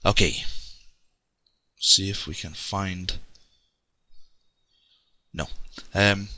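A man's voice: sits low, fairly even intonation, extremely slow, sharp tone, dry recording. A young Scottish man chatting casually with lots of ums while narrating his gameplay. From Scotland. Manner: rambling, chatty, many ums. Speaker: let's play gamer. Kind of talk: casual game commentary.

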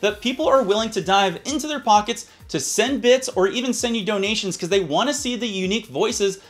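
A man in his thirties presenting a software tutorial in a casual, upbeat way. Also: high voice, fairly quick. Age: 30s. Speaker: YouTube tutorial host. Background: background music.

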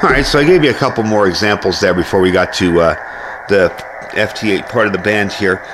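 A man's voice: on the low side, fairly quick, noisy background. A man giving a casual hands-on gear review as host, explaining things informally, step by step.

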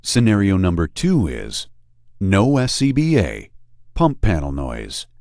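A man reading scripted instructional narration for a training video, deliberate and emphatic. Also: sits low, huge pitch swings, darkish tone, no echo, studio quality. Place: studio voice-over.

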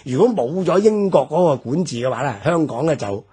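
A man with a Hong Kong Cantonese accent talks through history on a radio program. His voice is very raspy and strained, yet his pitch rises and falls in wide swings as he explains. He pauses often on fillers while he builds his points. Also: lively intonation, mellow. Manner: measured, explanatory, many fillers. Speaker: history commentator. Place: radio studio.

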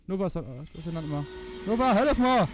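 A man giving casual, excitable live gameplay commentary, often repeating phrases and swearing. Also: high voice, fast. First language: Luxembourgish. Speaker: gamer recording a let's play. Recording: clear game noise behind. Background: video game sounds.

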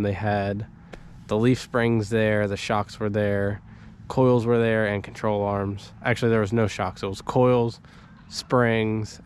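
A young man with a stuffy nose from a cold talks casually into an action camera, his voice fairly low. He rambles in a relaxed way with plenty of ums and likes. A faint outdoor hiss sits under the recording. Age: young adult. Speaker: vlogger. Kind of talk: casual vlog walkthrough. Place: inside a parked vehicle, then outdoors beside it.

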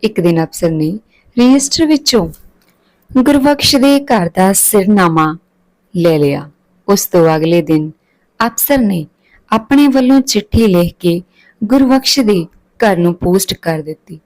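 A woman storyteller delivers a scripted narrative. Her voice sits at a medium pitch for a woman, and she reads as one telling a moral tale. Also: expressive, unhurried, pauses often, darkish tone, poor audio.

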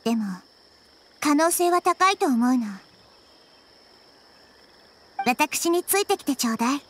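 A woman playing a gentle, wise child-like nature deity in an anime game, speaking softly and thoughtfully. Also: high-pitched, expressive, normal speed. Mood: calm, pondering. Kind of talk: Japanese anime game voice acting. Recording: background music under the voice.

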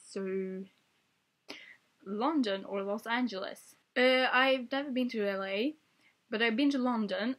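A woman's voice: medium pitch, a bit bright. Chatty young Swedish woman on a webcam. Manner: chatty and playful, often laughing and gesturing. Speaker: vlogger. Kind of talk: casual tag video chat. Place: small bedroom, indoors. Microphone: webcam at desk.

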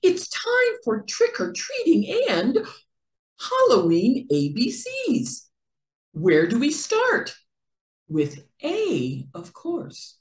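A middle-aged woman reading a children's picture book aloud in an expressive, deliberate storytelling manner with careful enunciation. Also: high voice, roomy sound. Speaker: read-aloud host for children.

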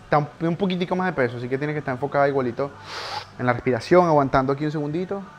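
A man's voice: on the high side, very fast, highs cut off. A young man, a personal trainer coaching a client through a workout live, counting reps and urging her on. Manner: urgent encouraging counting of reps, pushes harder near the end. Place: home garage gym, indoors.

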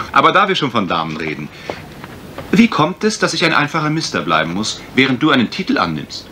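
An actor playing an urbane, teasing gentleman sleuth in a German radio crime drama, dry, ironic and probing. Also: mid-range voice, very animated, fairly quick, slight background noise.

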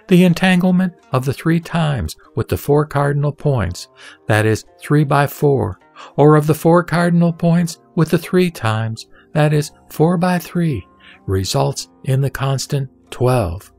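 A man reciting Buddhist scripture as scripted narration, formal and measured, in short deliberate phrases. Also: medium pitch, a little mellow. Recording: background music under the voice. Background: music.

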